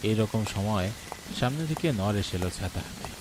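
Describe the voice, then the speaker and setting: A man delivering scripted narration as the storyteller of an animated horror tale. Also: lowish voice, normal speed, background noise. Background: music.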